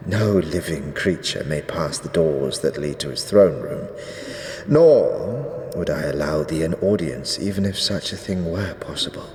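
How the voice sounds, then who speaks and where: Deep, slow undead zealot priest in echoing hall. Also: male voice, a little mellow. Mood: stern refusal. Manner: archaic, grave, measured pronouncements. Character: solemn undead zealot priest, devout, stern guardian. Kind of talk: fantasy audio drama acting. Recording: echoey stone hall reverb, faint noise.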